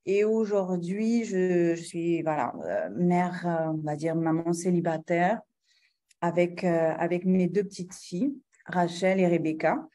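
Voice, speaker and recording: A middle-aged woman from Guinea speaking as an interview guest and mother. She talks slowly and hesitantly, with many fillers and restarts and light laughs. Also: lowish voice, fairly even intonation, mellow. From Guinea, of Lebanese descent. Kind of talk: conversational interview.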